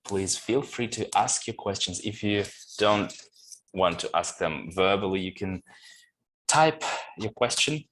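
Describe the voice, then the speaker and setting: Deep-voiced man host, webinar, loose delivery peppered with "yeah". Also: unhurried, a bit bright, low-quality recording. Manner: frequent "yeah" tags and fillers. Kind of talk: webinar discussion.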